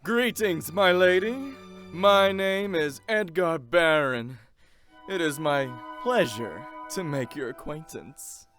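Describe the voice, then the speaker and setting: A man's voice: on the high side, expressive, slow, a bit bright, soft background music, exaggerated voice. A man playing a smug, insincere noble suitor in an audio drama, pompous and flowery in his speech. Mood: smooth flattery. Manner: pompous, flowery, over-polite. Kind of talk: audio drama character acting.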